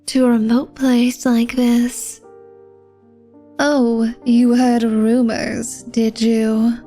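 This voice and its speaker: A woman's voice: on the high side, mellow. A woman playing an elegant, secretive vampire lady of a manor in a flirtatious ASMR roleplay, speaking formally and coyly to the listener. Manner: formal, genteel, coy, teasing speech addressed to the listener.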